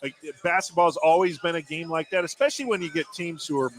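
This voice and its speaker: A man's voice: a little high, quick pace. An American man giving conversational color commentary during a live basketball broadcast.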